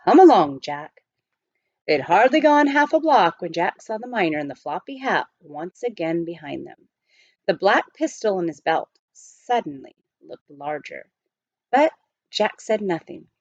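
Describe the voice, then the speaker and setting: A woman reading a children's novel aloud in an expressive storybook narration style. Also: a little high, dry recording, lo-fi sound.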